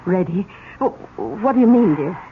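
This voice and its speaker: An actress playing an anxious, tender-hearted aunt in a 1970s radio drama, fretful and insistent. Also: female voice, medium pitch, lively intonation, normal speed, close to an everyday voice. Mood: distressed, tender. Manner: fretful, fussing. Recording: muffled, hissy old radio broadcast.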